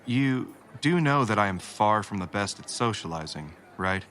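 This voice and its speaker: An actor in an audio drama playing a stiff, principled lieutenant who speaks in formal, precise, courteous phrases. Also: male voice, on the low side, lively intonation, a bit bright, slight background noise. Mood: formal, modest. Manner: formal, precise, measured wording.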